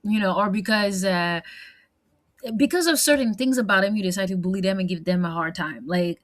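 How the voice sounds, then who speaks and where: A young woman with a French accent talks straight to the camera in a long personal monologue. Her pitch sits in the middle range for a woman. She rambles, repeats words and leans on fillers, now and then slipping into French. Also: brisk. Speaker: vlogger.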